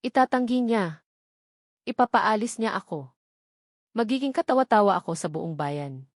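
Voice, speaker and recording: A desperate young Filipino aunt as a woman narrator plays her, protective and anguished. She speaks with pleading emotion in a storybook romance, at a medium pitch and in a very dry recording. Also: animated, normal speed, no echo, lightly acted. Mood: fearful.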